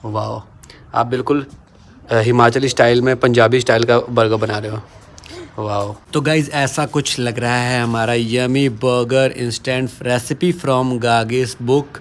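A man's voice: medium pitch, steady tone, a little slow, a little hiss or hum. A man chatting playfully while filming a home cooking vlog with his phone, teasing as he goes. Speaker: vlogger. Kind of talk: casual cooking vlog chat.

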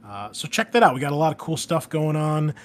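A man's voice: a little high, very animated, brisk, slight background noise. A man hosting a gaming podcast, making casual, enthusiastic announcements in an informal way. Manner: informal, enthusiastic, mild swearing. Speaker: podcast host and game master.

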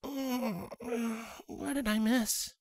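Storybook pony wife, played by a man: high, soft, sweet and teasing. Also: fairly even intonation, extremely slow, no room sound, clean studio sound, full character voice. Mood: groggy. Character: teasing female pony soldier, drowsy-sweet young newlywed wife.

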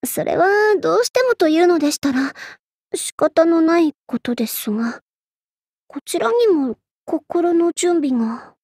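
A woman voicing a haughty, vain young Egyptian queen in a Japanese anime game, imperious and theatrical, quick to get flustered. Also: very high voice, sing-song, darkish tone, no room sound, clean studio sound, exaggerated voice.

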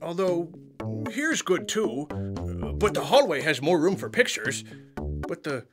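Childlike monster puppet, high voice, excitable, dithering, friendly, kids' show, music behind. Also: male voice. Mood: torn, dithering. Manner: exclaiming, dithering, drawn-out words.

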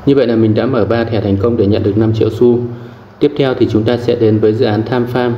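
A man hosting a tutorial channel, calmly talking viewers through app steps one at a time. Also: mid-range voice. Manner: calm step-by-step instructions. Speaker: channel host. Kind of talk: screen-recorded tutorial narration. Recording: roomy, muffled, faint background noise.

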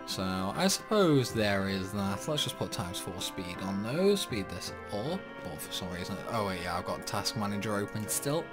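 A man's voice: on the low side, sing-song, moderate pace, hardly any pauses. Rambling man over game music. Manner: rambling, talks to himself while playing. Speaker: gaming channel host. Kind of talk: casual gameplay commentary.

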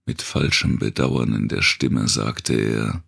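A man narrating a dark thriller audiobook in a slow, deliberate, dramatic manner, with a gravelly, raspy voice. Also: extremely low pitch, steady tone. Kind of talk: scripted narration of a dark post-apocalyptic thriller.

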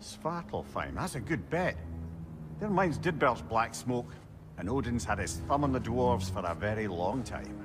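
An actor with a Scottish accent playing a wry, knowing older advisor in a video game cutscene. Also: male voice, medium pitch, lively intonation, a little slow, music in the background, close to an everyday voice. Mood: pondering. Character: wry, learned older male advisor, a severed head.

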